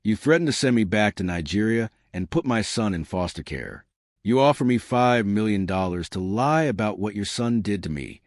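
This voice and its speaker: A man reading the lines of a resolute woman publicly exposing her abusive husband, with measured, accusing delivery. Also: lowish voice, lively intonation, no echo, studio quality. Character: steely Nigerian woman exposing her abuser.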